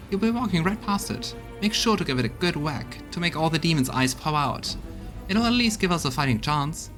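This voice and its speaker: A man's voice: fairly high pitch, a bit bright, voice pushed up. A man voicing a brash, gung-ho crusade fighter from a fantasy game, giving a punchy, slangy briefing. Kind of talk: acted reading of game dialogue.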